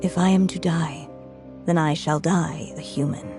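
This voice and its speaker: A woman voicing a frail, gentle but resolute elderly writer in a video game, speaking slowly and softly between coughs. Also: sits low, steady tone. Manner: slow, soft, reflective. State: coughing, weak. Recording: faint game music behind.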